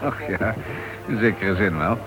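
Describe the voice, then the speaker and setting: An actor playing a reserved, wary ex-convict turned author in a Dutch radio play. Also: male voice, fairly low pitch, lively intonation, unhurried, over music, low-quality recording. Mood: reserved, curious.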